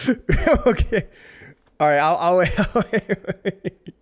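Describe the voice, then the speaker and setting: Animated high-voiced male streamer. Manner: exasperated, self-mocking, rambling. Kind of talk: casual live-stream commentary.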